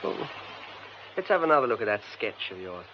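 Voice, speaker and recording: An actor playing a witty, sceptical British theatre designer in a radio drama, arch and teasing, with dry, theatrical turns of phrase. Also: male voice, fairly low pitch, sing-song, a little fast. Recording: dull, noisy radio recording.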